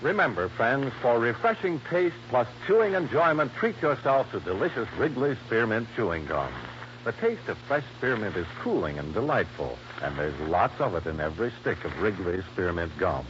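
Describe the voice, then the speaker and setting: A man's voice: lowish voice, very animated, moderate pace. An American man working as a 1950s radio announcer, reading sponsor copy and credits in a polished, emphatic sales delivery. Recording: noisy, muffled old radio broadcast.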